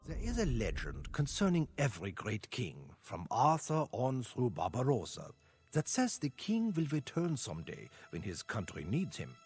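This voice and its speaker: An actor playing a solemn storyteller, giving grave, theatrical scripted narration of medieval history for a video game. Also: male voice, medium pitch, huge pitch swings, normal speed, a little mellow, slightly rough, soft background music, full character voice. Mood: reflective.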